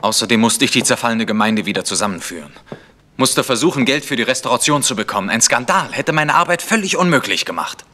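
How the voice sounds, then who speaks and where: Ein Schauspieler, der in einem Krimihörspiel einen bedrückten, sich rechtfertigenden Dorfpfarrer spielt. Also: male voice, medium pitch, lively intonation, quick pace, sharp tone, slight background noise. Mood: burdened, defensive. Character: troubled, defensive male village vicar. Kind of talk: vintage German radio crime drama acting.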